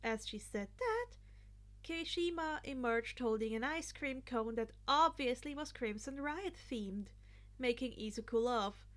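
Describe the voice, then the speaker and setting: A woman's voice: a little high, animated, a little slow, a bit bright. A woman narrating a piece of fan fiction as a scripted story reading.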